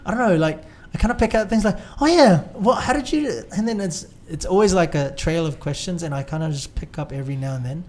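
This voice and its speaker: A man's voice: a little high, animated, fast, few pauses. A young man hosting a podcast in casual chat, talking in a rambling, hesitant way with lots of fillers. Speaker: podcast host.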